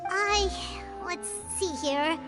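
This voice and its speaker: A woman's voice: extremely high pitch, very slow, over loud music, exaggerated voice. A woman voicing a small, eager, scatterbrained fairy companion with a childlike, hesitant way of talking, in an anime video game. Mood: unsure, helpful. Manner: refers to self in third person, hesitant.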